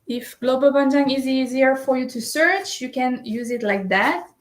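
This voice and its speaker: A woman's voice: high-pitched, moderate pace. A woman presenting a step-by-step screen tutorial, explaining as she goes and often checking in with "right?" and "uh". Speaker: shopping service presenter. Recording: roomy echo.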